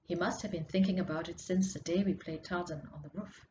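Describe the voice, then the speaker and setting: A woman reading a story excerpt aloud with expressive, measured and dramatic narration. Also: on the low side. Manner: measured, dramatic, drops to hushed tones for suspense. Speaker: author reading their own story. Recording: muffled audio with clear background noise.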